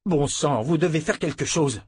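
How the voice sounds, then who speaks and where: A man's voice: fairly high pitch, moderate pace, husky, voice pushed up. Man playing an earnest, anxious young hero. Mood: angry, urgent.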